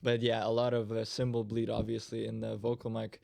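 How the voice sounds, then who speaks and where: A man's voice: lowish voice, monotone, fairly quick, gravelly, a little mellow. A young man from Russia, a band's guitarist and singer, chatting loosely on a podcast with many fillers. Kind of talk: casual podcast chat.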